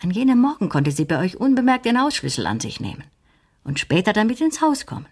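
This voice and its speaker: An actress playing a shrewd, composed, lonely older woman in a German radio crime drama, husky and measured. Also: medium pitch, huge pitch swings, a little mellow. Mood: pensive, explaining. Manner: measured, deliberate reasoning.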